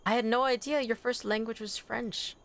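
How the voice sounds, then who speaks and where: Casting: livestream gamer, native Anishinaabemowin speaker, smooth clean tone, excitable and rambling, informal chat delivery. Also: medium pitch, normal speed. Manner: animated, excitable, rambling with self-corrections. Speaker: game streamer. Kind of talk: casual livestream chat while gaming.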